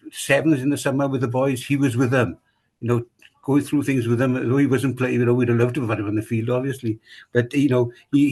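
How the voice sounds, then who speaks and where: A man's voice: mid-range voice, a little mellow, breathy edge. Rambling Welsh club chairman. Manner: rambling, repeats himself, many fillers. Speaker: rugby club chairman, guest. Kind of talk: casual podcast interview.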